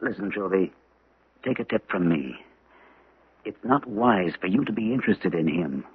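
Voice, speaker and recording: An actor playing a sensible, protective painter friend, gruff, wry and cautioning, in a 1970s radio drama. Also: male voice, low-pitched, animated, normal speed, slight background noise. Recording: muffled, lo-fi old radio recording.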